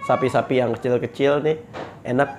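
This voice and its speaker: A man's voice: medium pitch, fairly even intonation, fairly quick, darkish tone, soft background music. A man with a stuffy nose from a cold, chatting upbeat as he shows off knives for sale. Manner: chatty, upbeat selling patter with pious exclamations. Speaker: knife seller. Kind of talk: casual product showcase.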